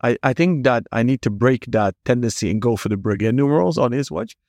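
A man with a Dutch accent hosts a relaxed podcast conversation, curious and eager as he puts questions to his guest. His voice sits at an ordinary middle pitch. The recording is close and dry, with almost no room sound. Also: fairly quick, vocal fry, no room sound. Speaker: podcast host. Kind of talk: casual interview chat.

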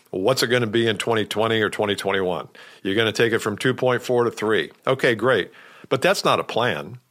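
A man's voice: on the low side, a bit bright. A man hosting a podcast, coaching sales leaders with direct talk and rhetorical questions. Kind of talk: podcast monologue.

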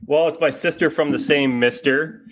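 A man's voice: on the high side, steady tone, normal speed, no room sound, low-quality recording. A man hosting a podcast in loose, joking banter, often stumbling over his words. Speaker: podcast host.

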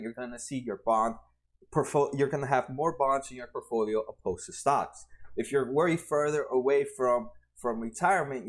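A man in his twenties explaining retirement funds over slides in a casual way, often restarting and adding "right?". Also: mid-range voice. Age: 20s. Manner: conversational, many restarts and "right?" tags. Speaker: personal finance explainer.

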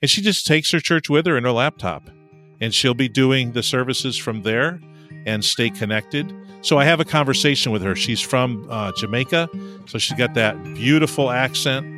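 A man's voice: medium pitch, normal speed, bright, forceful tone, soft background music. A man co-hosting a podcast in a casual, chatty, jovial and teasing way. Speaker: podcast host.

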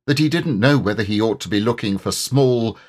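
A man's voice: on the low side, fairly quick. A man narrating a children's story expressively, as an audiobook reader.

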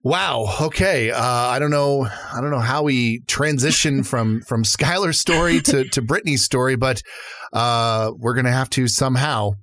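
A man's voice: mid-range voice, normal speed, a bit bright, no echo. A man co-hosting a podcast, chatting casually and welcoming guests. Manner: friendly, chatty interviewer. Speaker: podcast co-host.

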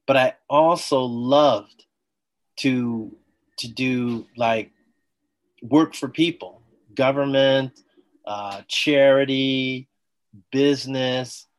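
A man, a former athlete, talking as a guest on an interview podcast, telling his story with enthusiasm in quick bursts. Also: medium pitch. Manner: enthusiastic, talks in bursts, acts out quoted dialogue.

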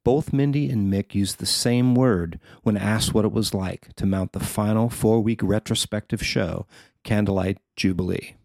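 Low-voiced man reading a feature article, dry sound. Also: moderate pace, vocal fry, a little mellow, dry recording. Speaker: newspaper writer reading own article. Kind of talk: scripted narration of a feature article.

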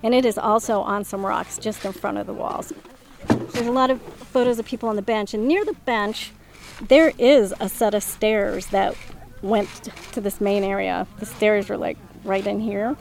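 Mid-pitched mature woman explaining informally. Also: moderate pace, gravelly, darkish tone, slight background noise. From Minnesota, US. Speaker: archaeological surveyor being interviewed.

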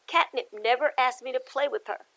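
A woman's voice: sits high, a little fast, fairly bright tone, voice pushed up, rough recording. A woman voicing a shy young female mouse who narrates her own story. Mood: timid.

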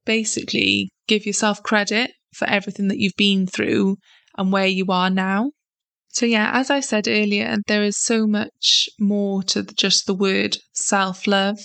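Woman, podcast host, solo talk; croaky sore-throat voice, flat and even delivery, earnest and encouraging. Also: mid-range voice, dry recording. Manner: earnest and encouraging, loose with fillers.

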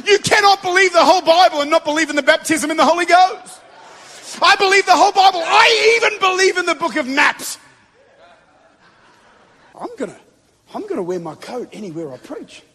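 A man preaching a Pentecostal sermon to a congregation in a large church, fervent and building to shouted declarations. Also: very high voice, lively intonation, brisk, long pauses. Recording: long reverb of a big hall.

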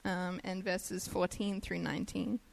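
A woman's voice: on the low side, level tone, unhurried, vocal fry, a little hiss or hum. Aussie woman preaching, chatty and easygoing, laughs at herself a lot. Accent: Australian. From Australia. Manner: chatty and self-deprecating, laughs easily. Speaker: guest preacher. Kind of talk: conversational sermon.

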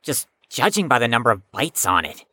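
Cautious bookish student, audio drama, played by a woman: low register, lively inflection, stiffly formal and dry. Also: fairly quick, husky, no echo, full character voice. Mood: wry, reluctant.

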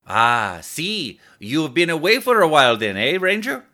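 Man doing a chatty middle-aged cab driver, thick Spanish accent, high, bright, eager. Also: big pitch swings, unhurried, heavily acted.

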